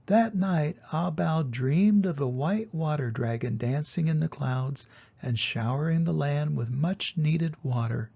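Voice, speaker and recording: An older man narrates a children's tale in a soft-edged, mellow voice. It is husky and gravelly, and the recording is a little muffled. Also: fairly high pitch, a little slow. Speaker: storyteller reading to children. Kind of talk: scripted children's storybook narration.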